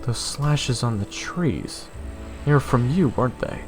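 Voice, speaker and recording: A man's voice: mid-range voice, animated, unhurried, lightly acted. A man playing a nervous young swordsman, narrating a fantasy encounter in the first person with earnest, dramatic delivery. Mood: wary. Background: fantasy game-style music.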